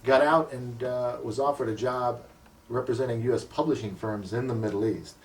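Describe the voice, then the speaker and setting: A man's voice: medium pitch, steady tone, moderate pace, a little hiss or hum. Older man recalling his past, slightly distant. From United States. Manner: thoughtful, with frequent ums and self-corrections. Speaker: community association president. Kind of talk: recalled life story in an interview.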